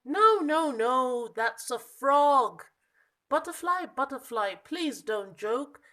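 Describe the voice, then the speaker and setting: A woman voicing a small, lost young monkey from a children's storybook, plaintive and exasperated, with emphatic, drawn-out protests. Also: high voice, animated, sharp tone, exaggerated voice. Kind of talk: children's storybook character reading.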